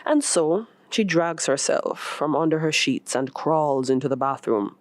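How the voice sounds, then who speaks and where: A woman reading a short story as scripted narration, in an expressive, measured storytelling manner. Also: deep voice, no room sound. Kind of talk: scripted narration of literary fiction.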